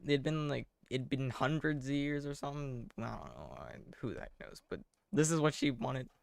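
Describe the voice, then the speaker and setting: A young man giving casual live game commentary, rambling along in a wry, deadpan way. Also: medium pitch, normal speed, vocal fry, no room sound. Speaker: game streamer. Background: faint game music.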